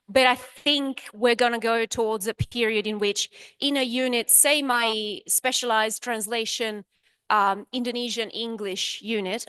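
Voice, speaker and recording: A woman speaks frankly and emphatically as a panel lecturer. Her fairly high voice is dry and close to the mic. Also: fairly bright tone, smooth, no room sound. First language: Italian. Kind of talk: confident panel answer.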